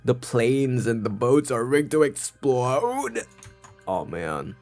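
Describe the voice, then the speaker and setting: A man streaming a visual novel, reading dialogue aloud and reacting in a theatrical, halting way. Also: mid-range voice, sing-song, darkish tone, soft background music. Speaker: gaming streamer.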